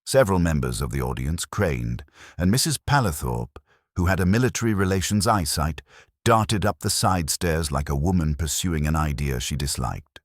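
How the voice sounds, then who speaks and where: A man narrating a period comic mystery from a script in a wry, measured, literary manner. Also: extremely low pitch, animated, no echo, clean studio sound. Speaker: narrator of an audio mystery story.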